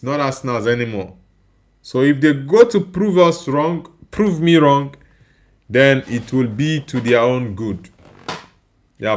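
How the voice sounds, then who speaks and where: A tired man with a Nigerian accent whose first language is Igbo, hosting a live stream and reading viewer comments aloud in casual chat. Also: mid-range voice. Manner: reads viewer comments aloud and answers them. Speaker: livestream host.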